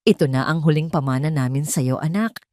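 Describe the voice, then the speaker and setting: Female reader as older dad, solemn, loving, earnest; low register, audio drama. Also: a little fast, mellow, dry recording, studio quality, lightly acted. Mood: solemn, warning. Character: loving, solemn older father entrusting his legacy.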